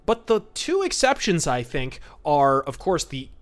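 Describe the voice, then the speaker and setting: A man's voice: on the high side, huge pitch swings, a little slow. Theatrical man hosting a podcast. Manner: theatrical, florid wording, emphatic. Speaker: podcast host. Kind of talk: podcast commentary, semi-scripted talk.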